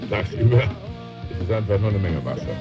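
An actor playing a weary, overweight tollbooth attendant, gruff and laconic, in a radio drama. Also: male voice, fairly low pitch, sing-song, normal speed, dull audio, loud music behind the voice. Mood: weary, unimpressed.

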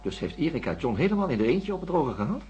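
Animated, probing male doctor. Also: fairly high pitch, fast, highs cut off, soft background music. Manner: probing, murmuring acknowledgements. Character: inquisitive, insistent male doctor debriefing a patient. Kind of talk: radio drama acting.

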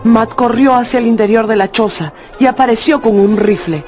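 A man's voice: extremely high pitch, normal speed, darkish tone, faint music behind. A man narrating a radio drama as a dramatic storyteller with scripted prose.

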